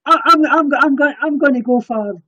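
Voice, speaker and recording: A man's voice: very high voice, normal speed, slight background noise. A man streaming live and chatting casually between karaoke songs, stammering and restarting his words. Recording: dull lo-fi sound with loud music.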